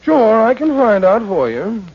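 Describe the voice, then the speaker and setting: An actor playing a slow, folksy, noncommittal small-business manager in a 1940s radio drama, hesitant and unhurried. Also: male voice, sits high, big pitch swings, vocal fry, full character voice. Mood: dithering. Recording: muffled 1940s radio recording.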